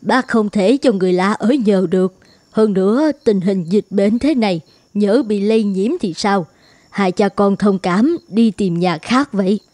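A woman voicing a cautious but kindly middle-aged village woman in a cartoon, measured and plain-spoken. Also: mid-range voice, dark tone, no room sound, lightly acted. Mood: reluctant. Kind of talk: animated film dubbing. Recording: music behind the voice.

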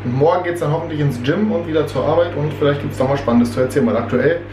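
A man's voice: on the high side, fast talker. Young male vlogger in his twenties, self-mocking and chatty, slight cold, noisy arm's-length camera sound. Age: 20s. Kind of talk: casual weekly vlog chat. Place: home kitchen and inside a car. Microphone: handheld camera at arm's length.

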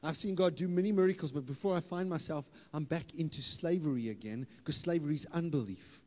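A man delivers a sermon from a church stage, holding a handheld mic. His voice sits somewhat high and he shifts from easy, joking asides to loud, emphatic declarations. The hall adds a long reverb to every line. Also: brisk. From South Africa. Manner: animated, playful, rises to loud emphatic bursts. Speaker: pastor.

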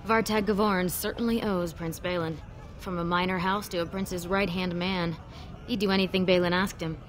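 An actress voicing a friendly, gossipy tavern waitress in a video game. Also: female voice, on the low side, fairly even intonation, normal speed, natural voice. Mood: chatty. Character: chatty female tavern waitress.

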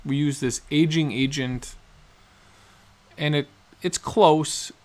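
A man in his forties, a guitar builder, calmly explaining each step of his work in a matter-of-fact how-to narration in his workshop. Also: a little high, slow. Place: cluttered workshop indoors.

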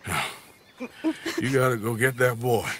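A man playing a weary, dying middle-aged American Western outlaw in a video game, gruff, halting and plain-spoken. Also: medium pitch, a bit bright, slight background noise, lightly acted. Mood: sorrowful. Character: weary, dying male outlaw gunslinger, gruff but loyal. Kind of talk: cinematic western game acting.

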